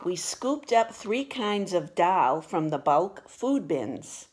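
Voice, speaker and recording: A woman reading a children's picture book aloud with expressive, deliberate storytelling delivery. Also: on the low side. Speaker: storybook reader.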